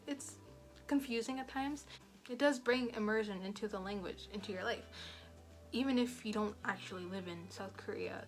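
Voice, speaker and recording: A young woman giving casual language-study advice in a rambling, chatty way, full of fillers. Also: mid-range voice. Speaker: language learning vlogger. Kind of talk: casual talking-head advice.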